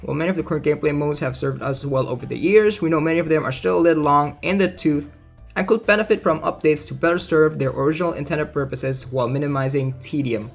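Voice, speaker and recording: A man's voice: on the high side, quick pace, gravelly. A man giving casual commentary as he reads out game news, informal and thinking aloud. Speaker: gaming content creator. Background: background music.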